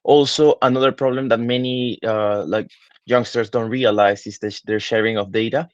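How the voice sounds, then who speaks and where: A man's voice: medium pitch, steady tone, moderate pace, no room sound, lo-fi sound. A man in his twenties from Peru, a digital marketing consultant answering interview questions thoughtfully, with many fillers and restarts. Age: 20s.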